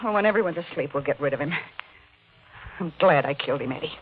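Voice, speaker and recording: Scheming femme fatale, muffled old radio. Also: female voice, sits low, lively intonation, fast, slight background noise. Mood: cold, hushed. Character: scheming, cold-blooded femme fatale, greedy and ruthless. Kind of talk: 1950 radio drama acting. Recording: dull vintage 1950 radio recording.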